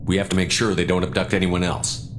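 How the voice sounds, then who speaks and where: An actor playing a blunt, steadfast space commander in a video game drama. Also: male voice, sits low, flat delivery, fast talker, noisy background, close to an everyday voice. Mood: terse, guarded.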